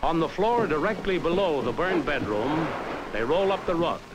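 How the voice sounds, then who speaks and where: Animated 1950s American training-film narrator, male. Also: on the high side, moderate pace, low-quality recording. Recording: vintage 1950s film soundtrack. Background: background music.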